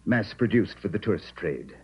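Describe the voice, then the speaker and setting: A muffled old radio recording holds a low-pitched, polished villain. He speaks formally and insinuatingly, with cold menace under the courtesy. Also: big pitch swings, moderate pace, slightly rough. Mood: suave, insinuating. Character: suave, cold, menacing gentleman villain and dealer. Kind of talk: 1950s radio drama acting.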